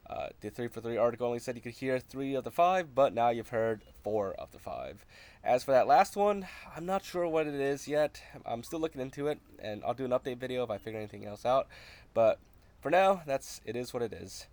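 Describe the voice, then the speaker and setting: A man's voice: mid-range voice, expressive, a little fast, a little mellow. A man narrating a video game walkthrough in a casual, conversational, explanatory way.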